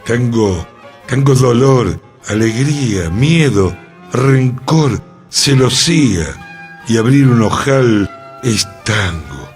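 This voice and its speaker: A man reading a 1920s Buenos Aires drinker who recites rhyming verse about tango slowly and with emphasis. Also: medium pitch, expressive, faint music behind. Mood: declaiming.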